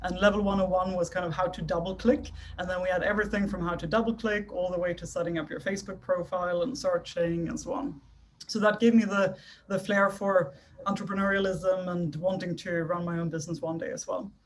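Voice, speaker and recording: A woman's voice: fairly low pitch, level tone, a little fast, a little hiss or hum. A young woman from Sweden giving a friendly, chatty talk to a club meeting over a video call. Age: young adult. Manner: friendly, chatty, lightly self-deprecating. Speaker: guest speaker. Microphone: video call microphone.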